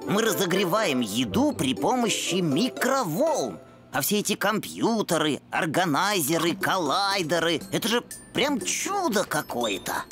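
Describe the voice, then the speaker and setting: A bookish cartoon boy who acts like a little professor. The voice sits fairly low for a woman and swings with lively, pompous emphasis. Music plays clearly behind it. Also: unhurried. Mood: lecturing. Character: Gena, nerdy know-it-all boy dog, pompous young skeptic. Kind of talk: children's cartoon voice acting.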